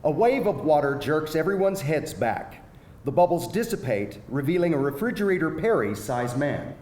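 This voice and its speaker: A man's voice: on the high side, very animated, moderate pace, darkish tone. A man narrating stage directions in a live screenplay reading. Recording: echoey hall, faint background noise.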